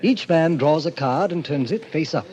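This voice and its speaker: An actor playing a suave, sinister middle-aged club president, theatrical and courtly, in 1940s radio drama style. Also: male voice, a little high, expressive, moderate pace, a little mellow, natural voice. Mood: smoothly sinister. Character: suave, sinister fifty-year-old president of a death club. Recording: muffled old-time radio recording.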